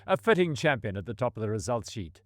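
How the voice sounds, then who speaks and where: A man giving polished, scripted narration for a race highlights video. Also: big pitch swings, fast talker, noisy background.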